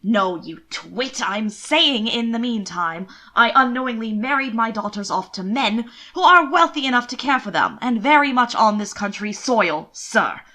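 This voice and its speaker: A woman's voice: fairly high pitch, lively intonation. A woman voicing a middle-aged male earl in a period audiobook, blustering and indignant, with emphatic delivery. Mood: loud indignation. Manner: loud, emphatic, slow deliberate outrage.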